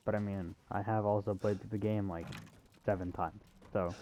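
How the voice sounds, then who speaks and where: Mellow, low-voiced man doing game commentary. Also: level tone, moderate pace, muffled recording, a little hiss or hum. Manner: offhand, informal. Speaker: gaming video host. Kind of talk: casual game walkthrough commentary.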